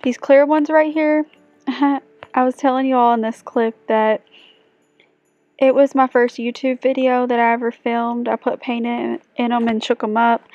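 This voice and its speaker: A woman's voice: a little high, a little mellow, no room sound. A young woman narrating a casual shopping vlog, chatting with viewers and asking them questions. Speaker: vlogger. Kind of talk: casual shop-with-me voice-over. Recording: dull dry sound under music.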